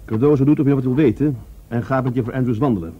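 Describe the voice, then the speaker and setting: A man playing an earnest, self-doubting police adjutant in a radio drama, speaking deliberately and deferentially. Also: mid-range voice, lightly acted. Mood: earnest, explaining. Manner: deliberate, hesitant, deferential to his superior. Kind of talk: Dutch radio drama dialogue. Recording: dull radio recording, faint noise.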